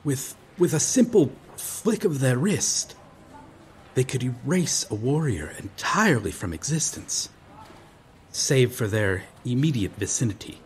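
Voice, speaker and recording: A man's voice: medium pitch, sing-song, unhurried, slight background noise. A man playing a noble, earnest medieval knight lost in modern times in an audio roleplay, speaking in formal, archaic, solemn phrases. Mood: grave, recounting. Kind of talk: ASMR audio roleplay, dramatic monologue.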